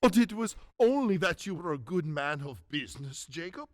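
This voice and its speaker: A man's voice: a little high, sing-song, moderate pace. A man playing a frightened, stubborn old miser in a radio drama, stammering and flustered. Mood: nervous, pleading.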